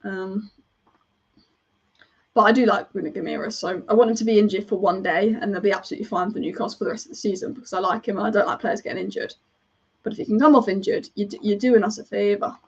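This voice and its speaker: A woman's voice: medium pitch, fast talker, creaky, breathy edge, highs cut off. A woman commentating a football match as a fan on a live watch-along, chatty and partisan, rambling and reacting to the play as it happens.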